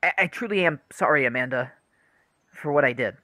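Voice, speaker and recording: A man's voice: mid-range voice, lively intonation, fairly quick, sharp tone, slight background noise. A man playing an apologetic, earnest adult son in a radio play, hesitant and repeating his words.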